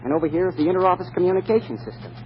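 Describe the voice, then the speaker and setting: Male actor as keen office boy, high-pitched, slangy, 1940s radio comedy, noisy dull recording. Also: racing, natural voice. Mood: eager, instructive. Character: eager slangy office boy showing the ropes.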